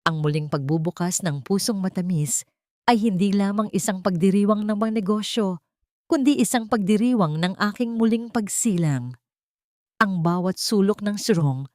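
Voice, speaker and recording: A woman narrating a scripted first-person dramatic story in a solemn, deliberate, sentimental way. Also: fairly low pitch, a little mellow, no echo, clean studio sound.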